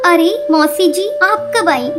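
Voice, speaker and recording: A woman's voice: very high-pitched, animated, slow pace, full character voice. A woman voicing an earnest, devoted young widowed sparrow in a cartoon. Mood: polite. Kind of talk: Hindi cartoon dialogue.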